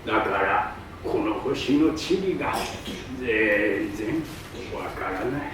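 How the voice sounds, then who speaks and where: A man playing a pompous, eager old geographer in a theatrical staged reading, with drawn-out, emphatic pronouncements. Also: on the low side, animated. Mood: matter-of-fact. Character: pompous, eager old male geographer, self-styled scholar. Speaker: stage reader. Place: public hall stage. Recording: distant mic in echoey hall, faint noise.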